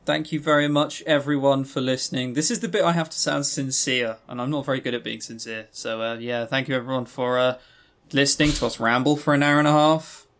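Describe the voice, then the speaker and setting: A man's voice: medium pitch, fairly even intonation, a little fast, a bit bright. A man co-hosting a podcast in casual, unscripted chat, with wry, self-deprecating humour. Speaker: podcast co-host.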